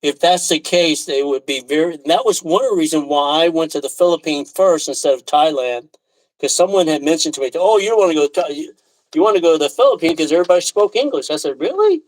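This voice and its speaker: A man from Taiwan hosting a casual live chat, talking in a halting, rambling way with frequent throat clearing. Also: a little high, expressive, poor audio. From Taiwan, left as a little boy. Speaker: live stream host.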